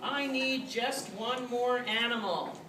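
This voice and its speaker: A woman's voice: fairly low pitch, expressive, moderate pace, a bit bright. A woman voicing a stately male emperor in a storybook, speaking in a formal, proclaiming manner. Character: stately male emperor announcing race results. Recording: roomy, distant mic, faint noise.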